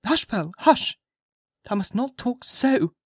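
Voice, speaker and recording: A narrator voicing a troubled, earnest young mother in an audiobook, pleading with slow, solemn emphasis. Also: medium pitch, lively intonation, slightly rough, dry recording, studio quality, voice pushed up. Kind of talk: dramatized audiobook character voice, archaic speech.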